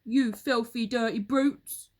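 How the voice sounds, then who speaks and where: A woman voicing a grumpy, foolish husband in a children's story, shouting and blustering. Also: a little high, fairly even intonation, takes it slowly. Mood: angry.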